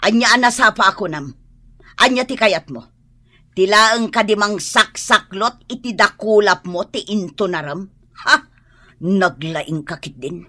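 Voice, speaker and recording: A woman's voice: mid-range voice, a little slow, sharp tone, no room sound. A woman playing a stern, middle-aged scolding mother in an Ilocano radio drama. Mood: scolding, exasperated.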